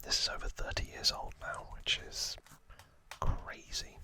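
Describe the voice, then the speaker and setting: Slow, whispering male ASMR host. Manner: hushed, unhurried, informal explaining. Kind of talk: whispered ASMR trivia narration.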